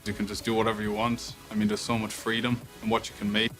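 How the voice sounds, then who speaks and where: Man, interviewee: quick, even delivery, low voice, music bed. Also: low-quality recording. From Ireland. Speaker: map creator in a team. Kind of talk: interview soundbites.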